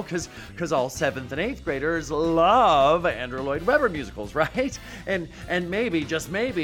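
A man's voice: mid-range voice, a bit bright. A man hosting a podcast in a chatty, theatrical style, gushing and playful as he talks. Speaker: podcast host. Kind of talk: chatty podcast monologue and guest introductions.

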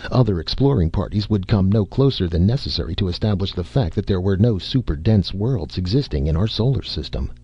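A man's voice: low voice, lively intonation, brisk, few pauses, dark tone, breathy edge, highs cut off, heavily acted. A man reading as a bitter, gloomy astronomer. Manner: bitter, muttering. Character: bitter, miserable middle-aged astronomer.

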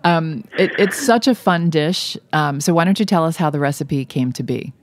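Low-voiced female radio host, voice going, chatty and easygoing. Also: normal speed, dry recording. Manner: chatty, friendly interviewer with fillers and restarts. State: losing her voice. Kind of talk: casual radio chat.

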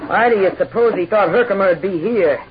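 A woman's voice: mid-range voice, brisk, close to an everyday voice. A woman playing a brusque, hard, scheming outlaw's wife in a 1950s radio western. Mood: tense. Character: heavily built, gruff, scheming female outlaw's wife. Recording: dull old radio recording, faint noise.

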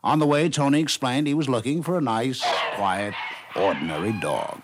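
A man's voice: mid-range voice, moderate pace. A man narrating a scripted radio documentary in 1950s style. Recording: noisy vintage 1950s radio recording.

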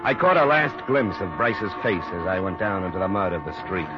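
An actor playing a tough, sober private investigator, terse and matter-of-fact, in a 1950s radio drama. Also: male voice, sits low, expressive, fairly quick. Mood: grim. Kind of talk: 1950s radio drama, first-person hardboiled narration and dialogue. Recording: muffled vintage radio recording with music.

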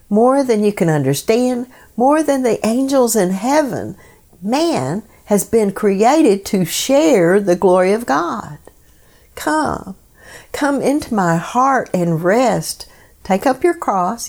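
A woman hosting a devotional program, reading scripted meditations in a solemn, measured way. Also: mid-range voice, creaky, a little mellow.

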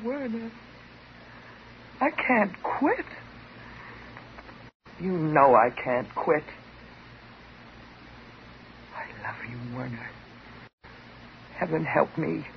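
An American actress playing an intense, unstable psychotherapy patient, theatrical and emotionally volatile, in a 1950s radio drama. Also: female voice, low-pitched, creaky, full character voice. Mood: desperate, pleading. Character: intense, unstable woman psychotherapy patient in love with her doctor. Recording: dull, hissy 1950s radio recording.

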